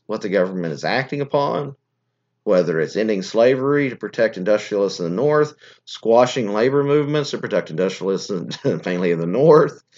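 A man hosting a political podcast, his voice hoarse and scratchy, talking in an opinionated, rambling way with frequent restarts. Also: on the low side, a little mellow, muffled recording. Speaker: podcast host. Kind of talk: political commentary monologue.

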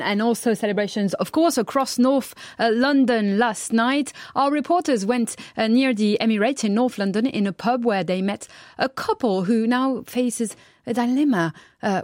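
A woman presenting radio news, linking between stories and reporters in a brisk, friendly way. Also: medium pitch, a little mellow, no echo, clean studio sound.

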